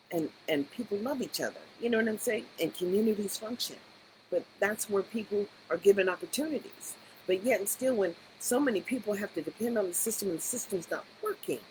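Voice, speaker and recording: Animated older woman, rambling commentary. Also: lowish voice, a little hiss or hum, rough recording. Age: 60s. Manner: conversational, digressive, emphatic rhetorical questions. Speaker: education advocate. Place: Las Vegas area.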